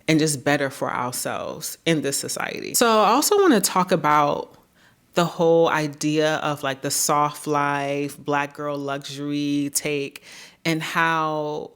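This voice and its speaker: A woman with a naturally deep voice talking to camera in an earnest, measured way, often checking in with "right?". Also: a bit bright, clear, resonant tone. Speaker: YouTube creator. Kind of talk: reflective talking-head commentary.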